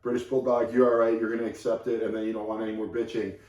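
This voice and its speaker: A man's voice: on the low side, flat delivery, very fast, darkish tone. Guy cohosting a chat podcast, rambly and jokey, sounds a bit far off in an echoey room. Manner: rambling, joking, frequent restarts and laughs. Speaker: podcast co-host. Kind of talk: casual chat recapping wrestling.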